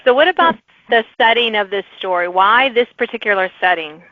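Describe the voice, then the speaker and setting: A woman's voice: a little high, lively intonation, fairly quick, dry recording, lo-fi sound. Woman podcast host, close dry sound. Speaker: podcast host interviewing authors. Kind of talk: scripted questions mixed with casual chat.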